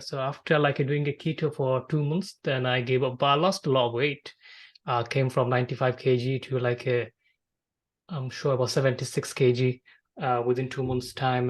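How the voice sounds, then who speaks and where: A man from Bangladesh with as his hosts a casual conversation. His mid-pitched voice moves very little in melody. He speaks haltingly, often circling back over his words. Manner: halting, repetitive phrasing with frequent filler "like". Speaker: podcast host. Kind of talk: casual interview chat.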